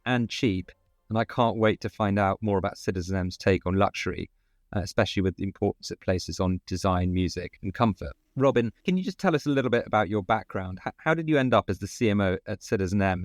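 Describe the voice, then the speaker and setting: A man co-hosting an interview podcast. His voice sits fairly low and sounds dry and close to the mic. He introduces his guest and asks questions in a polished, prepared way. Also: animated, fast talker, dry recording. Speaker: podcast co-host.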